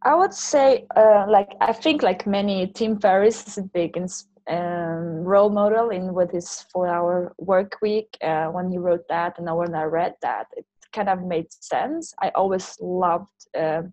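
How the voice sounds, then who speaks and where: A woman's voice: on the low side, measured pace, mellow, no room sound, rough recording. A woman from Sweden, speaking loosely phrased second-language English as a guest in a casual interview. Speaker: interview guest, online language teacher and entrepreneur.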